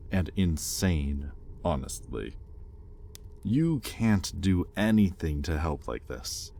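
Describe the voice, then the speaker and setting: A man playing an exasperated, possessive demon master in an audio roleplay, sardonic yet grudgingly caring, speaking with pointed pauses. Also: rumbling low, a little mellow, a little hiss or hum, exaggerated voice. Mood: probing, scornful. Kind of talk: intimate audio roleplay, dramatic monologue.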